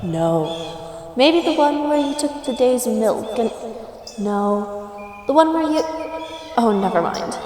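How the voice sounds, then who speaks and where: A woman's voice: on the high side, lively intonation, takes it slowly, pauses often, crowd noise. Woman acting a coy leprechaun in echoing reverb. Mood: admiring, teasing. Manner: coy, playful, old-fashioned formal wording. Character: shy, flirtatious, mischievous female leprechaun of the woods. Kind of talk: scripted roleplay audio addressed to the listener. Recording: roomy long reverb, faint noise. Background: outdoor nature sound effects.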